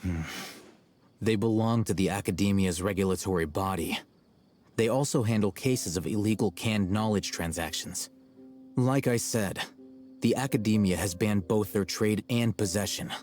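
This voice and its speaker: A man plays an aloof, calculating young scholar in a video game. His low voice is measured, dry and blunt, self-assured throughout, with soft music underneath. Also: close to an everyday voice.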